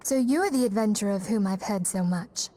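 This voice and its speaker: A woman's voice: mid-range voice, fairly quick, dark tone, natural voice. An actress playing a kindly, earnest young female leader in a fantasy game, speaking with poised, formal sincerity. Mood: welcoming. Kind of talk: fantasy video game voice acting.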